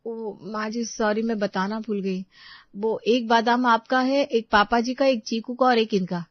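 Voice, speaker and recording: A woman's voice: fairly high pitch, steady tone, unhurried, no room sound, highs cut off, natural voice. Woman voicing a harried, thrifty young housewife. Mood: explaining. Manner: explaining, protesting. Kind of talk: Hindi moral-story character dialogue.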